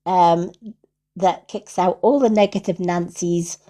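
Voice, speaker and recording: A Scottish woman hosts a casual livestream as a medium. She speaks slowly in a soft-edged, mellow voice. Her manner is affirming and repetitive, with frequent little check-ins. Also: fairly low pitch, steady tone. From Scotland. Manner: affirming, repeats herself, tags with "right?". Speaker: psychic medium hosting a livestream. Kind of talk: casual livestream spiritual reading.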